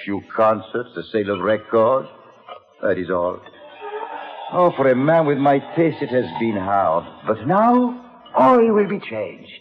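Effusive aging composer, swooping delivery. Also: male voice, mid-range voice, very animated, a little slow. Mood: wistful, hopeful. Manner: effusive, theatrical, gallant. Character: aging, flamboyant, romantic male composer and bon vivant. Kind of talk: 1940s radio drama acting. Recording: dull vintage radio recording, faint music.

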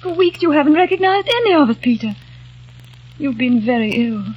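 An actress playing an anxious, caring young fiancée in a 1940s radio drama, speaking in a pleading, breathy way. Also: female voice, high voice, expressive, a little fast. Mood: anxious relief. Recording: dull lo-fi old radio recording.

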